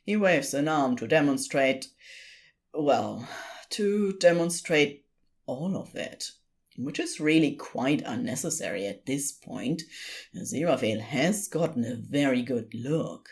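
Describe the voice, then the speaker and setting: A narrator reading a scripted story in a deliberate, expressive storytelling manner. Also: mid-range voice.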